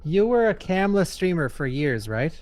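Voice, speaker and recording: Man, slightly high voice, teasing, joking, streamer host, voice chat. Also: sing-song, a little mellow. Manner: teasing, playful, quick to joke. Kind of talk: casual voice-chat banter while gaming.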